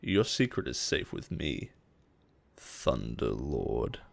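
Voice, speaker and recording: A man playing a possessive chief executive in a roleplay audio drama, with an intimate, teasing manner that turns nervy and quietly menacing. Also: very deep voice, big pitch swings, slow, gravelly, pitched below the performer's own voice, full character voice. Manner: intimate, teasing, rambling when agitated. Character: possessive male CEO boss, playful, nervy, quietly menacing.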